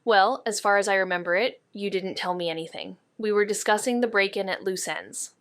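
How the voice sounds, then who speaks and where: An actress playing a sharp, wry young woman detective in a noir audio drama, with a dry, clipped, probing manner. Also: mid-range voice, brisk, clear, resonant tone, no echo, natural voice. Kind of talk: neo-noir audio drama dialogue and narration.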